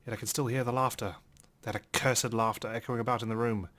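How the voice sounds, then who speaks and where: A man hosting a let's play, reading a visual novel aloud in a measured, theatrical way. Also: mid-range voice, fairly even intonation, a bit bright. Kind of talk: dramatic read-aloud narration of a visual novel with commentary.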